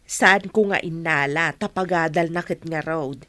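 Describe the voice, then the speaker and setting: A woman's voice: deep voice, a little slow, a bit bright, dry recording, studio quality. An actress playing a caring, principled village mother with a grown son in a radio drama. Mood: chiding. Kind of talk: Philippine radio drama acting.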